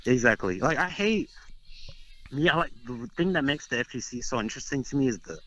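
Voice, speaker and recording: A young man from Texas trash-talks his rivals, boastful and loose, filling every pause with "like." His voice is bright and forceful at a middle pitch. He rambles with easy confidence. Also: animated, rough recording. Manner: brash, boastful, rambling with many "like"s. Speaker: interviewed competitive fighting game player. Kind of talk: casual trash talk interview.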